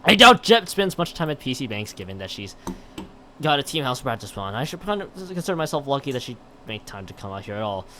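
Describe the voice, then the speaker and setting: A man's voice: mid-range voice, big pitch swings, a little fast, sharp tone, a little hiss or hum. A man on a live game stream, reading a visual novel aloud with stumbles and exasperated asides. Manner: stumbles and repeats words while reading.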